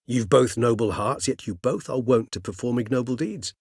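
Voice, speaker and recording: An actor playing an urbane, erudite ancient male vampire in a video game, speaking in eloquent, formal, precise phrases with a wryly measured manner. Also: mid-range voice, creaky, darkish tone, slightly rough, dry recording, studio quality. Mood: scholarly, measured. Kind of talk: video game character acting.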